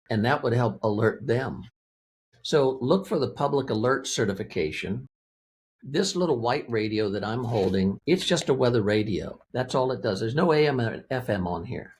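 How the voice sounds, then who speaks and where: A man's voice: medium pitch, darkish tone, breathy edge. A man speaking as an interview guest, explaining a product in a plain-spoken, methodical, conversational way. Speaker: interview guest, weather radio company representative.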